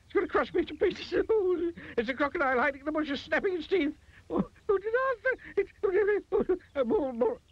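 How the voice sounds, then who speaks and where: A man voicing a timid, hysterical little storybook character in a shrill falsetto, stammering and repeating himself. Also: animated, normal speed, no echo, muffled recording. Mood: panicked.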